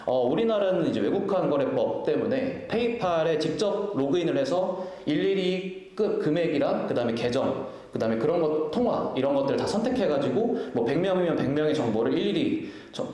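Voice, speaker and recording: A man's voice: fairly high pitch, dark tone. A man giving a business conference presentation in a polite, formal explaining way, with frequent hesitations and restarts, in an echoey event hall. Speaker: product owner presenting at a company event. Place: indoor event venue, presenting to an audience.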